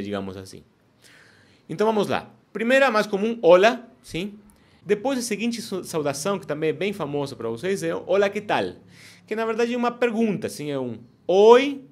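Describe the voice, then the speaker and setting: Male Spanish teacher explaining patiently. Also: a little high. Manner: explains step by step, checks in with "ok?" and "sim?". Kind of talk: online language lesson.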